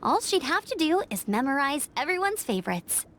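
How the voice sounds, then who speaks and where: Bubbly young female scout, high voice, animated intonation, eager, friendly, anime game. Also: normal speed, slight background noise. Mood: cheerful, fond. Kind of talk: anime video game voice acting.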